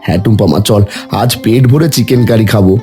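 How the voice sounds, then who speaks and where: A man plays a kind village father in a cartoon, talking quickly and gently. Faint music plays under his lines. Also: lowish voice, natural voice. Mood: amazed. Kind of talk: Bengali cartoon dubbing.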